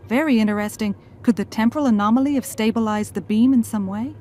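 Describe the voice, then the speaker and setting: A woman's voice: a little high, fast talker, darkish tone, close to an everyday voice. A woman playing a thoughtful, gracious scientist and mother in a fan audio drama. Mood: curious. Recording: faint background noise.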